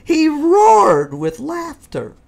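A woman reading a children's story aloud in an expressive way, with deliberate dramatic pauses. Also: high voice. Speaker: storybook reader.

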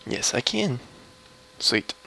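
A young man with a low voice, rambling casually through gameplay commentary at his computer. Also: bright, forceful tone. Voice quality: low, gravelly voice. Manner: slow, mumbly and rambling, trailing off. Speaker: gamer streaming a mining session.

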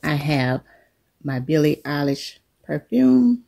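Low, gravelly woman with a cold. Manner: relaxed, unhurried, addresses viewers as y'all. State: coming down with a cold. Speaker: vlogger. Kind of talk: casual show-and-tell chat. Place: living room, indoors.

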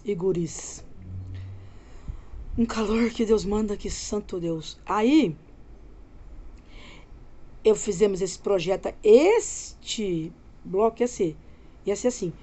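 A woman's voice: mid-range voice, slow pace, long pauses, mellow. Chatty Brazilian woman vlogging from bed. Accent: Brazilian Portuguese, Minas Gerais. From Minas Gerais, Brazil. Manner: chatty, loose, addresses viewers as galera. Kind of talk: casual home vlog chat. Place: home bedroom, lying in bed. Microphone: phone camera held close.

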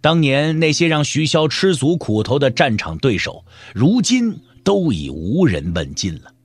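A man's voice: on the high side, very animated, a little slow, breathy edge. A man narrating a dramatic scripted audio drama as storyteller, over music.

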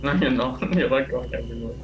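A young man chatting nervously with friends while playing a horror game, breaking into laughs and "oh my god"s. His pitch sits in a middle range, and game music plays clearly behind him. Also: fairly even intonation, fast, poor audio. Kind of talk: casual chat over game.

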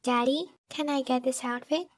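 A woman's voice: high voice, steady tone, normal speed, no echo, studio quality. A woman voicing an innocent, sweet little girl in an anime comic dub.

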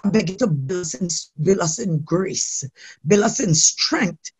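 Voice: mid-range voice, expressive, measured pace, slightly rough. A worship leader praying aloud in a fervent, impassioned, pleading way, calling on God again and again.

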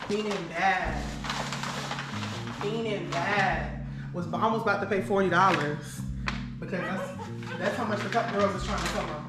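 A woman in her home kitchen shows off her purchases in an excited, playful vlog. She talks in a lively way, full of slang and catchwords she keeps repeating. The room echoes, music plays clearly behind her, and the camera sits a few steps away. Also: mid-range voice, unhurried, long pauses. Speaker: vlogger. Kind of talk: excited casual vlog, grocery haul. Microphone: camera on the counter, a few steps away.

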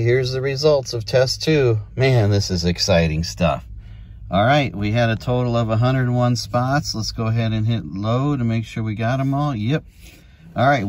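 Older man, folksy, easygoing, casual vlog narration, medium pitch, dry sound. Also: darkish tone, no echo. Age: 50s or 60s. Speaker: amateur radio vlogger. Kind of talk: casual narrated field demonstration. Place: outdoors in an open farm field and inside a parked car.

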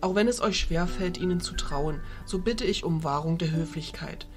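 Woman as a low-voiced, dignified fairy ruler. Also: over music, lightly acted. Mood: diplomatic. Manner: formal, measured.

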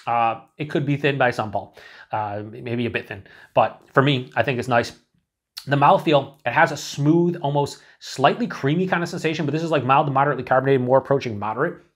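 A man's voice: medium pitch, fairly quick, husky. A man in his forties, chatting casually to camera as he reviews a beer, thinking aloud with lots of fillers and restarts, in a small indoor room.

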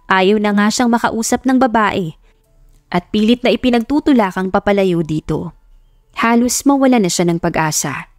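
A woman's voice: medium pitch, a little mellow, no room sound, studio quality. A woman narrator reading a scripted love story in a dramatic audiobook style. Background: background music.

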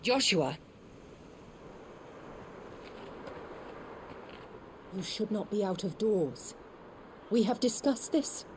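An imperious duchess, speaks with formal, icy hauteur. Her pitch moves widely in this fantasy game role, over faint ambient noise. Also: sing-song, a little slow, a little mellow. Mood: cold reproach. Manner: formal, haughty. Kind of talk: scripted video game drama.

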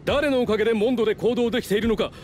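A man's voice: sits high, racing, darkish tone, a little hiss or hum, lightly acted. A man voicing a haughty, scheming nobleman in a Japanese video game, speaking formally and condescendingly. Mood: indignant, commanding. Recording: game music behind the voice.

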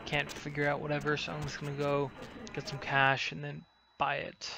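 A man's voice: a little high, completely flat delivery, moderate pace, creaky, sharp tone, faint music behind, poor audio. A man casually narrating his roleplay playthrough of a video game. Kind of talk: casual game commentary, partly in character.

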